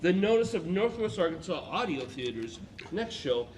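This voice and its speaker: A man's voice: high voice, normal speed, a little hiss or hum. A man playing a patient herald announcer. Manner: hesitant, patient, lively announcing. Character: cheerful male herald announcer. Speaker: announcer in an audio play.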